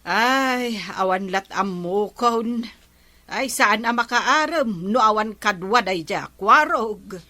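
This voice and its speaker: A woman's voice: fairly high pitch, slow pace, sharp tone, no echo. A woman with an Ilocano accent playing a gossiping village woman in a comedy drama. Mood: gossipy.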